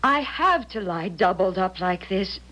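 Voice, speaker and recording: An actress playing an eerily possessed, frightened wife who turns commanding, in urgent 1970s radio drama style. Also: female voice, medium pitch, lively intonation, pushed and bright, dull audio. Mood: distressed, eerie. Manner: dramatic, urgent, halting then imperious.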